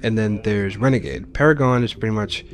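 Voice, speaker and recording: A man's voice: lowish voice, a little fast. A man giving informal, explanatory commentary as he hosts a video game playthrough. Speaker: Let's Play host. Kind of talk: casual gameplay commentary.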